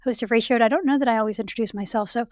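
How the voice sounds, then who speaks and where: A woman in her thirties hosting a casual podcast chat, her voice raspy and hoarse from late pregnancy, talking in a chatty way with many fillers. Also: fairly high pitch, racing, darkish tone, no echo, clean studio sound. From Canada. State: heavily pregnant, voice going hoarse. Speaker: podcast host.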